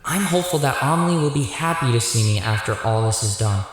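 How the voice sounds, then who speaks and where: A man acts as an earnest, burdened male hero in a radio drama. His mid-pitched voice is serious and sincere, drenched in echo with a long reverb tail. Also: lively intonation, quick pace, lightly acted. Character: earnest, guilt-ridden male leader of heroes.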